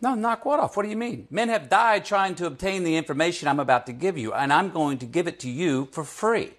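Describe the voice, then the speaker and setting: A man's voice: on the high side, lively intonation, brisk, a bit bright. A man playing a cocky teenage ladies' man, giving showy, emphatic advice in a comic script read. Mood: cocky, persuasive. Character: cocky smooth-talking teenage boy, self-styled ladies' man. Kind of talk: comic screenplay table read.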